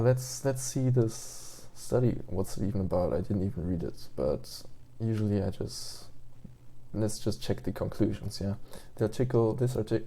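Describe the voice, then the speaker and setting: A man's voice: on the low side, dark tone. A man in his twenties with a German accent, just out of bed, rambling casually about his language study with lots of fillers and restarts. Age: 20s. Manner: meandering, hesitant, many fillers and restarts. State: just woke up. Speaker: language learner vlogger.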